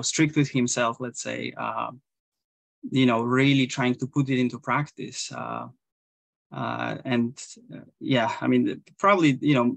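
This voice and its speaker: Polish guy on a video call, kinda gravelly, lots of um and restarts, dry webcam sound. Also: mid-range voice, a bit bright, no echo. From Poland. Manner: hesitant, many restarts and fillers. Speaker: guest, Zen priest. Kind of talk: casual interview over video call. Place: small room indoors.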